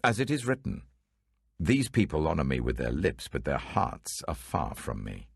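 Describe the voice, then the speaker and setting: A man's voice: very deep voice, wildly swooping pitch, moderate pace, gravelly, slightly rough, dry recording, studio quality, full character voice. A British man voicing a stern, authoritative religious teacher in a dramatic scripture reading, emphatic and weighty. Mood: rebuking.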